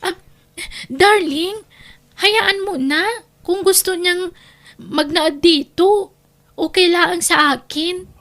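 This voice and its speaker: A woman's voice: very high-pitched, takes it slowly, pauses often, a bit bright, heavily acted. A woman playing a brazen, possessive young mistress who taunts her rival in a radio drama. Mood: sugary, scheming.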